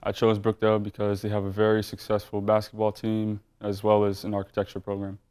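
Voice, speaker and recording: A man's voice: lowish voice, monotone, brisk, darkish tone, clean tone. A young man, a college basketball player, introducing himself steadily in a short interview. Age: young adult.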